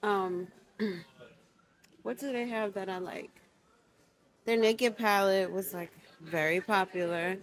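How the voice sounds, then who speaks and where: A woman's voice: medium pitch, steady tone, slow pace, pauses often. A young woman vlogging casually to a handheld camera, chatty and upbeat with lots of fillers. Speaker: lifestyle vlogger. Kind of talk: casual vlog chat to camera. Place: beach, boardwalk and store. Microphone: handheld camera at arm's length.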